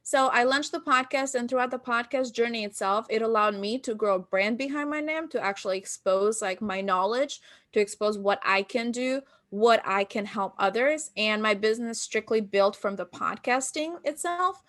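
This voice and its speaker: A woman's voice: fairly high pitch, normal speed, hardly any pauses, fairly bright tone. A woman from Albania, in her thirties, telling stories in a casual podcast interview and acting out quotes from herself and others. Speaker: guest, marketing business founder.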